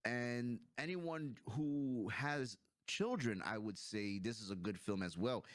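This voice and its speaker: A man's voice: lowish voice, dry recording, clean studio sound. A man giving his opinions as a guest in a casual movie review chat, explaining his points as he goes.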